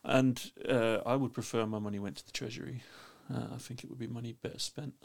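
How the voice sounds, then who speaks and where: A man's voice: mid-range voice, fairly even intonation, fast, vocal fry. A man with a London accent, a linguist guest giving blunt opinions with many restarts. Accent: London English. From England. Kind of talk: casual opinionated discussion.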